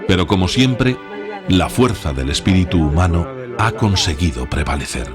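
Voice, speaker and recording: A man's voice: low-pitched, expressive, normal speed, music in the background. A man narrating a documentary from a script.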